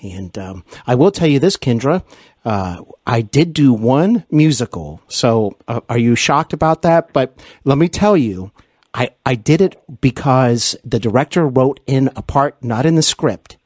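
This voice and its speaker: A man's voice: mid-range voice, darkish tone, dry recording. Man, hesitant host, dry close sound. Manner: hesitant, rambling, encouraging. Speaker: podcast host, interviewer. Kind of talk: casual conversational interview.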